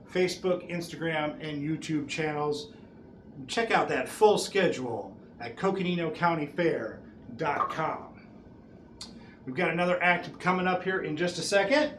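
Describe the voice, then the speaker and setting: A middle-aged man delivers showman patter as a juggling host. His voice sits a little high and his delivery is theatrical. The small bare room echoes strongly, with some noise behind him. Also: fairly bright tone. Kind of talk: showman stage patter to an audience.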